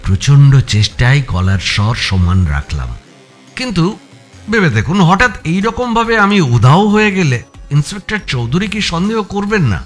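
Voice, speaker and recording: A man narrating a suspense thriller in a dramatic, expressive audio story style, with music behind him. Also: fairly high pitch, moderate pace. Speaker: narrator reading a first-person thriller.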